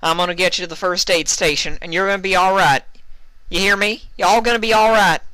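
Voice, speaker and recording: Brave country pony mare played by a man: raised pitch, twang, folksy and urgent, storybook character read. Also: fairly even intonation, quick pace, sharp tone, no room sound, low-quality recording. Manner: folksy, pleading and exclaiming.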